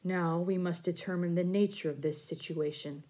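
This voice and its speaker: A woman voicing a calm, wise spirit that speaks from within someone's mind, in a soft, lower, quieter tone, in a storybook reading. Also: normal speed, natural voice.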